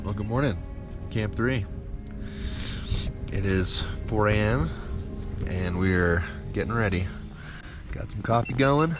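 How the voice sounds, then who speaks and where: A man at a high-altitude tent camp talking casually to the camera, relaxed and wry. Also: slow. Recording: slightly dull sound with wind noise. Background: background music.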